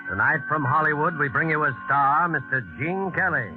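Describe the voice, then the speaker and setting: An actor plays an ominous male host introducing tales of suspense. His voice is a little high, formal and dramatic, with deliberate phrasing. Loud music plays behind him on a thin, tinny 1940s radio recording. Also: natural voice. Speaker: announcer and host. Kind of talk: 1940s radio scripted narration.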